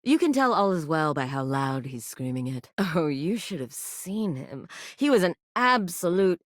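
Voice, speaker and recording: A woman's voice: low voice, sing-song, moderate pace, no room sound. A woman voicing a flirtatious, brazen living sex toy in a video game, speaking in a sultry, teasing drawl on a close studio mic. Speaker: voice actor. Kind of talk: video game character voice lines.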